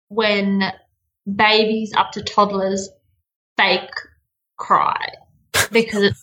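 A woman's voice: medium pitch, a bit bright, low-quality recording. Bubbly young Australian woman. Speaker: interview guest. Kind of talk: casual interview chat.

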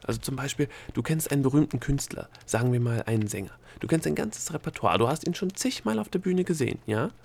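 A man voicing a squeamish, defiant young princess in an audiobook, anxious and grumbling in a breathy way. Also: medium pitch, lively intonation, fast, hardly any pauses, darkish tone, pitched above the performer's own voice. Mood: insistent. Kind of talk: audiobook character voice.

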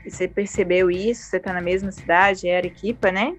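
A woman's voice: mid-range voice, fairly even intonation, fairly quick, soft background music. A woman with a Brazilian Portuguese accent, hosting a conversational interview in an informal, reflective manner. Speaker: interviewer, host.

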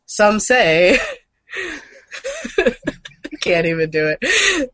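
A woman's voice: medium pitch, big pitch swings, extremely slow, a bit bright, dry recording. A woman co-hosting a casual news chat, with an irreverent, joking and sweary way of talking. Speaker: show host.

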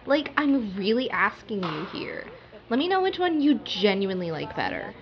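A woman's voice: medium pitch, very animated, moderate pace, crowd noise, lightly acted. A woman playing a vain, needy, easily offended customer in an audio drama, whiny and entitled. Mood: needy, coy. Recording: muffled, noisy hidden-bug recording in a shop.

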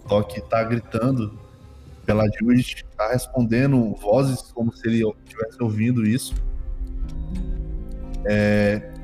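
A man's voice: lowish voice, flat delivery, a little slow, long pauses, darkish tone, faint music behind. A Brazilian man running a tabletop game as game master, narrating off the cuff and often repeating words.

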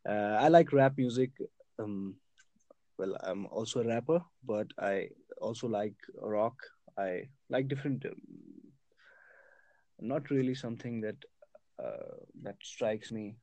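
A man's voice: fairly low pitch, a little slow, mellow, no room sound. A man from Kashmir in casual chat, hesitant with lots of fillers and frequent laughter. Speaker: conversation partner.

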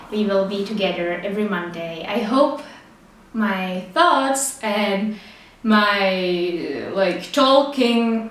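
A young woman from the Czech Republic with a Czech accent, rambling casually to the camera with lots of fillers and laughs. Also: mid-range voice. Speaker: vlogger. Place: small studio with street traffic outside. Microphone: camera's built-in mic, no external microphone. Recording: roomy, distant camera mic with faint street noise.

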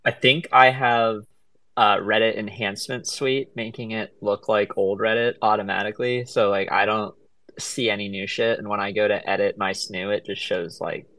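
A man's voice: lowish voice, fairly even intonation, normal speed, pushed and bright, dry recording. A man chatting informally in an online discussion, with some mild swearing. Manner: informal, mildly profane. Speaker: participant in an online audio discussion. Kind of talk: casual chat.

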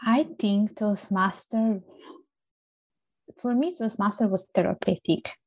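A woman's voice: medium pitch, takes it slowly, darkish tone, poor audio. A woman with a strong Italian accent, chatting as a guest in an earnest, emphatic way. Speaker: interview guest. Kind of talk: casual interview chat.

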